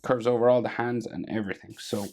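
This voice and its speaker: Gravelly Irish man teaching drawing. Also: medium pitch, fast talker. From Ireland. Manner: relaxed, thinking aloud while drawing, trailing off quietly. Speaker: drawing tutor. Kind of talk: casual step-by-step drawing lesson.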